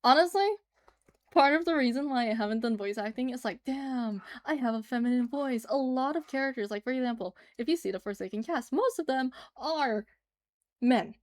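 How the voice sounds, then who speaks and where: A woman's voice: high-pitched, normal speed. A woman livestreaming as she draws, chatting casually and giggling as she answers her chat. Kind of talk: casual chat while drawing on stream.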